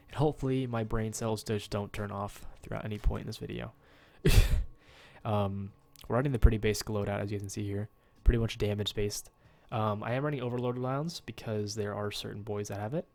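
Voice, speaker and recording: A man's voice: fairly low pitch, steady tone, a little fast, darkish tone. A young man with a nasal, stuffed-up voice giving rambling, casual commentary while he plays a game at home, full of ums and uhs. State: nasal from a cold. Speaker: gaming YouTuber.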